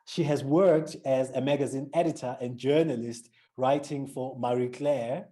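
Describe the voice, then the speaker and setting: Man, south african accent, interviewer, dark mellow voice, reads then asks questions. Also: medium pitch, steady tone, moderate pace. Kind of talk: book interview.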